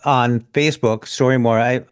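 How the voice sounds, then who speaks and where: A man's voice: medium pitch, fairly even intonation, moderate pace, smooth. A man hosting a podcast in informal, appreciative interview talk. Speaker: podcast host.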